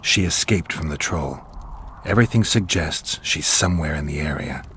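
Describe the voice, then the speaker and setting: Gruff gravelly monster hunter from a video game, low and deadpan, faint noise behind him. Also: male voice, normal speed, full character voice. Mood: firm, terse. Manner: terse, dry, deadpan.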